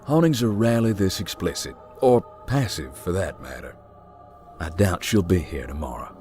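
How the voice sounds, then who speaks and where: A guarded man who knows hauntings well, speaking low in a terse, matter-of-fact way. He sounds aloof and stoic, like a horror game character, with music clearly playing behind him. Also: expressive, normal speed. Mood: stoic, cautioning. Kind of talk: video game voice acting.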